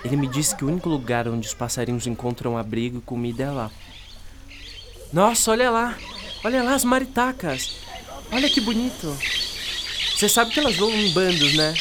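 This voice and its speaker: A man's voice: fairly high pitch, noisy background, lightly acted. Chatty Brazilian boy amid birdsong, played by a man. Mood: concerned, then excited. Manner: chatty, enthusiastic, folksy. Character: friendly boy who knows his birds. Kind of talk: radio drama dialogue. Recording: birdsong ambience behind the voice. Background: outdoor birdsong.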